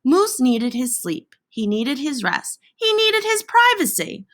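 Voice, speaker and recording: Lady reading a kids book out loud, high voice, really swoopy and dramatic, lots of pauses. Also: very animated. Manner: theatrical, dramatic pauses and emphasis on alliterative words. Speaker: storybook reader. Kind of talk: expressive children's picture book read-aloud.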